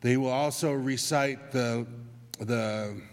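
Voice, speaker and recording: A man from Tonga whose first language is Tongan, explaining a church custom to the congregation in a measured way with restarts. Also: mid-range voice, steady tone. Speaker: church member giving an announcement. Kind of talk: unscripted church announcement. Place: church sanctuary. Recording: long church hall reverb.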